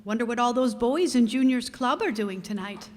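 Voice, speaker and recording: A woman playing a gentle, gossipy middle-aged housewife who speaks solicitously, in old-time radio comedy style. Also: on the high side, normal speed, in a large hall, lightly acted. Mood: gently curious. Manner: solicitous, storytelling.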